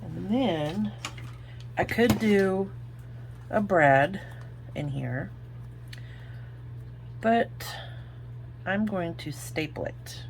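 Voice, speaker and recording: A woman's voice: mid-range voice, drawn-out words, vocal fry, pauses often, slight background noise. A woman with an Oklahoma accent, chatting her way through a crafting tutorial and thinking aloud as she works. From Oklahoma, United States. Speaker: craft video host.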